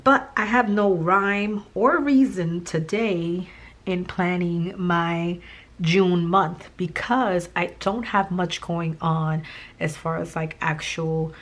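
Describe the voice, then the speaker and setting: A woman talks in a low, raspy voice that is hoarse and worn. She chats casually and rambles a little, with plenty of fillers and restarts as she plans. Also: fairly even intonation, takes it slowly. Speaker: planning vlogger. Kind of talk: casual chat while planning.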